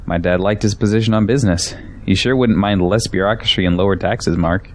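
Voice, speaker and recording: A man's voice: on the low side, brisk, darkish tone, slight background noise, natural voice. A young man playing a pragmatic, defensive arguer in an audio drama, with an argumentative delivery. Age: young adult.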